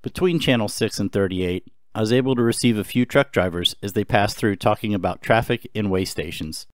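A man narrating an explainer in a calm, explanatory way. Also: lowish voice, brisk, no room sound. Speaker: host. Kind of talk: scripted narration.

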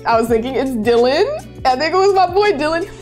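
A high-voiced young woman from the Chicago area streams from her bedroom setup and talks through viewer messages. She is bubbly and effusive and often squeals with excitement. Soft music plays faintly underneath. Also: a little fast. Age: 20s. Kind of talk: casual live-stream chat reading messages.

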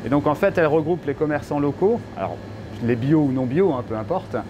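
A man in his thirties, a shop owner, explaining with animated gestures how business and online ordering work in a local TV interview. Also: fairly high pitch, brisk, muffled recording, noisy background. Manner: explains with lively hand gestures. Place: inside a small bulk-food shop.

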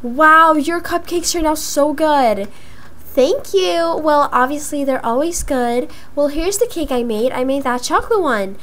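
A woman's voice: high-pitched, expressive, a little slow, fairly bright tone. A young woman playing a chatty teenage schoolgirl in a casual voiced video game roleplay. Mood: pleased. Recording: music behind the voice. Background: background music.

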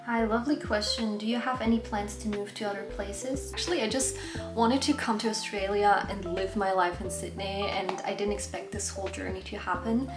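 A woman in her twenties speaks English with a German accent that has picked up some American. She chats casually like a vlogger taking questions, at an average pitch. The room is clearly echoey, and music plays underneath. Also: steady tone, fairly quick. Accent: German with some American influence. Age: 20s. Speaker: vlogger answering viewer questions. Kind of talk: casual Q&A chat to camera. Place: small bare indoor room. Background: background music.

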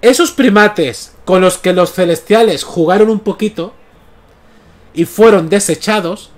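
Canarian man in his 30s, higher pitch, relaxed live-stream chatter, headset mic at home. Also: measured pace. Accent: Canarian Spanish. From Canary Islands. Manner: chatty, often checks in with "¿vale?". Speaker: comics streamer. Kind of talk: casual livestream commentary. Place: small room at home, indoors. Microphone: gaming headset mic.

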